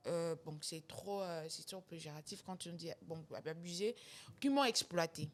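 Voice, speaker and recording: This is a young woman from Gabon, worn out from a sleepless night as a new mother. Her voice sits a little low. She pauses on fillers and laughs between phrases as she tells her story. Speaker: singer guest. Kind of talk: casual interview talk.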